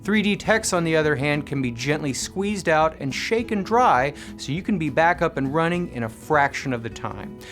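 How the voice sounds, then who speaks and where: A man presenting a scripted product review, explaining things with clear enunciation. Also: fairly high pitch, lively intonation, moderate pace, hardly any pauses, fairly bright tone, faint music behind. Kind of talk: scripted product review narration with live demo commentary.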